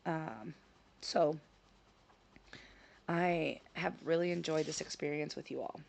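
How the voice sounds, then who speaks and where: A woman hosting her own vlog in casual chat, a little hoarse from a scratchy throat, talking with frequent ums and self-corrections. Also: deep voice, steady tone, slow, darkish tone. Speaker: channel host. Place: her home in Sicily.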